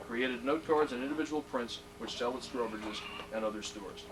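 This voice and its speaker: A man's voice: medium pitch, moderate pace. A middle-aged man presenting at a hall of fame induction, genial, with joking asides, reading aloud from a plaque. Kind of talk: ceremony speech. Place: ceremony hall. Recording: distant mic, noisy room.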